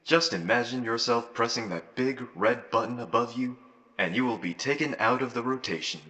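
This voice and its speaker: Man acting a bright, forceful corporate announcer. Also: medium pitch, moderate pace, close to an everyday voice. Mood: slick, official. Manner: polished, salesman-like. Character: slick, upbeat male corporate announcer for futuristic dating service. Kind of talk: scripted announcement, audio roleplay.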